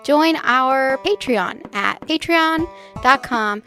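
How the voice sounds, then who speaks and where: A woman's voice: high-pitched, lively intonation, takes it slowly, pushed and bright, faint music behind. A woman announcer reading a podcast promo.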